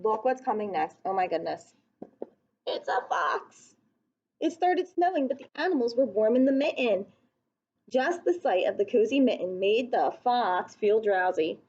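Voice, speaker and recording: A woman's voice: a little high, darkish tone, slightly rough, highs cut off. A woman reading a picture book aloud to young children, speaking expressively and putting questions to her listeners.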